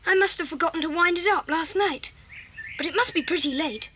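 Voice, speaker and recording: Woman playing a bright, high-voiced plucky schoolboy. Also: fairly quick. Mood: brisk. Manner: eager, earnest storytelling. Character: plucky, practical adventurous schoolboy. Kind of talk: 1980s children's audio drama acting.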